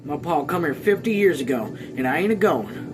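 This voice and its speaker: A man acts out a stubborn, defiant farm man in a storybook reading. The voice is pushed higher and louder, emphatic and folksy, with music clearly behind it. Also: very animated, fairly quick. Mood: angry, defiant.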